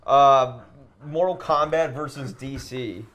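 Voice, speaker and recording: A man with a medium-pitched voice talks casually as a podcast co-host. He is blunt and dismissive with his opinions and drops swear words freely as he chats over a game. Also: takes it slowly, fairly bright tone. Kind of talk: casual chat debating movies while playing a video game.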